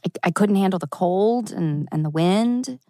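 A woman's voice: mid-range voice, animated, normal speed, dark tone, no room sound, close to an everyday voice. A woman acting an anxious, wry young woman at a teen drama table read. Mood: wry, uneasy.

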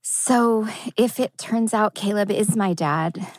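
A woman's voice: medium pitch, slow, darkish tone, no echo, lightly acted. A woman acting an anxious, wry young woman at a teen drama table read. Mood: wry, uneasy.

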